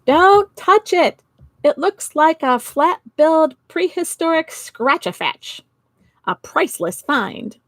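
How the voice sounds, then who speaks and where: A woman voicing an excited schoolman in a storybook, loudly exclaiming and stretching out words. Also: sits high, no echo, voice pushed up, exaggerated voice. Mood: alarmed, awed. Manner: loud, exclaiming, drawn-out words. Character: excited shouting male school custodian and science teacher.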